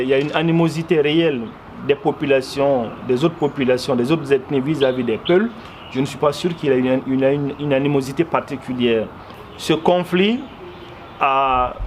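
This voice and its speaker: A man with a Malian accent giving earnest, explanatory interview answers, often repeating words for emphasis. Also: mid-range voice. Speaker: interviewee, commentator. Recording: clearly noisy background ambience.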